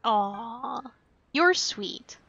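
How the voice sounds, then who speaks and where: A woman's voice: fairly high pitch, expressive, very slow, creaky, fairly bright tone, highs cut off, a little hiss or hum. A woman livestreaming a game, chatting casually and cutely to her viewers as she plays. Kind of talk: casual livestream chat while playing.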